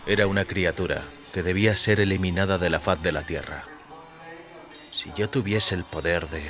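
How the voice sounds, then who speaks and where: A man reading scripted first-person fiction as a narrator, in a measured, literary, sombre manner. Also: low-pitched, a bit bright. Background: faint music.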